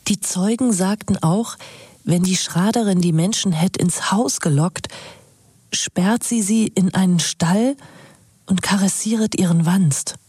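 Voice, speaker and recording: An actress playing a solemn reader of an old witch-trial record in a radio drama, measured and husky. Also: mid-range voice, mellow, no echo, studio quality. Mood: solemn, ominous. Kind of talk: scripted archaic narration in radio drama.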